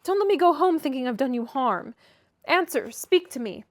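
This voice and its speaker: A woman reading aloud and voicing an impulsive, warm-hearted teenage girl who speaks earnestly and pertly. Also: high-pitched, animated, normal speed, no echo, pitched above the performer's own voice. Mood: remorseful. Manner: earnest, pert.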